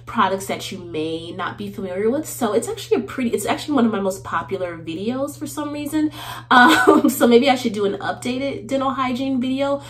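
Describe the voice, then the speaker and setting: A woman's voice: fairly high pitch, animated, fairly quick. A woman in her thirties hosting a get-ready-with-me video in her bathroom, chatty and laughing often, sounding a little nasal from a cold. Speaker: beauty vlogger host. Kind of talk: casual get-ready-with-me chat. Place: small indoor bathroom.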